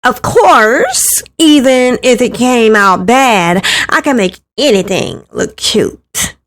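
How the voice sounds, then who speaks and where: Woman playing confident, high-voiced young streamer girl. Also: expressive, takes it slowly, a bit bright, full character voice. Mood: playful confidence. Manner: candid, casual, encouraging. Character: self-assured, friendly young female streamer preaching self-love.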